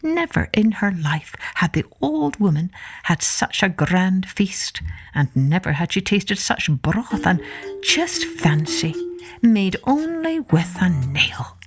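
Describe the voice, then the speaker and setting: Female children's story narrator, expressive scripted read, lively melodic range, music bed underneath. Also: medium pitch, slow, husky. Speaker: storyteller, podcast host.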